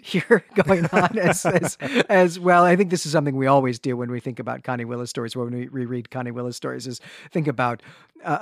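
A man's voice: mid-range voice, dry recording, studio quality. A man co-hosting a book podcast, giving conversational commentary and often repeating words as he works out his thoughts. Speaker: podcast host. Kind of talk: literary discussion, conversational commentary.

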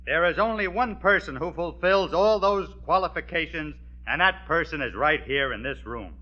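An actor playing a formal, pompous political meeting speaker with oratorical delivery in a 1940s radio sitcom. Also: male voice, fairly high pitch, animated, moderate pace. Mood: solemnly proud. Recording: dull vintage radio recording.

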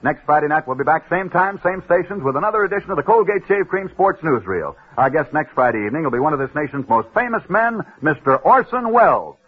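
A man hosting a radio sports newsreel, telling stories with dramatic pauses and punchy emphasis. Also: on the high side, very animated, husky. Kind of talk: 1940s radio sports storytelling. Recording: tinny old radio broadcast.

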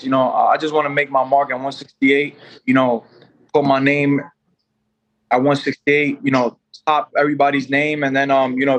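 A young man from New York chatting as a guest on a boxing podcast. He rambles with plenty of "you know" and false starts, at a mid-range pitch. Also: highs cut off. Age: 20s. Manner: loose and rambling, many fillers and restarts. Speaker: boxer, guest. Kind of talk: casual interview chat.

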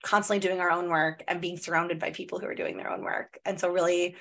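A woman in her thirties talks through a casual podcast chat in a slightly low voice. She leans on a lot of "like" fillers and comes out in quick bursts. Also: flat delivery, vocal fry, fairly bright tone. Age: 30s. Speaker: podcast host.

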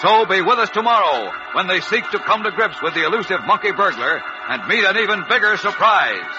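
A man's voice: high-pitched, lively intonation, fairly quick. Male American serial narrator, 1940s: emphatic, suspenseful, lo-fi recording with music underneath. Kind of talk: dramatic 1940s radio narration. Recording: muffled old radio recording.

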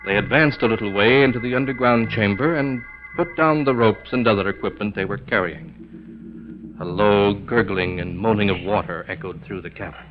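An actor narrating a terror tale in scripted 1940s radio style, grave, deliberate and dramatic. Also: male voice, on the low side, close to an everyday voice. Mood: ominous. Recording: dull vintage 1940s radio broadcast. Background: clear music.

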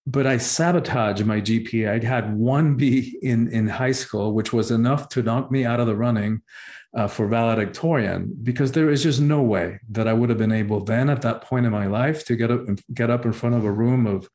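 A middle-aged man from Cuba, a spiritual teacher appearing as an interview guest, telling stories from his own life in a thoughtful way, pausing often and restarting phrases. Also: medium pitch, darkish tone. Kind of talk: reflective storytelling in an interview.

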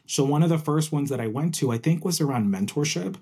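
A man's voice: a little high, animated, quick pace, a little mellow, breathy edge. A young man from Puerto Rico, raised in New Jersey, chatting eagerly as an interview guest and often tagging "right?" onto his points. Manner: enthusiastic, often ends with "right?". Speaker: interview guest, program manager. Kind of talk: casual interview chat.

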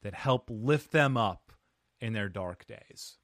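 A man's voice: lowish voice, animated, takes it slowly, a bit bright, no room sound, clean studio sound. A man hosting a podcast interview, chatting in a playful, self-deprecating way. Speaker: podcast host. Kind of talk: casual interview chat.

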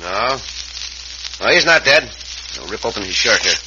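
An actor playing a gallant, commanding Mexican cowboy hero in an old radio western, with a Mexican accent and formal phrasing. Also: male voice, on the low side, big pitch swings. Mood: calm, concerned. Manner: formal, deliberate word choice without contractions. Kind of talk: 1950s western radio acting. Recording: muffled, hissy old radio recording.

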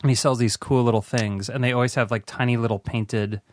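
A man chats as a podcast guest at an ordinary middle pitch. He tells stories in a relaxed, teasing way. The sound is close and dry. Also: a little fast, no echo. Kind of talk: casual chat.

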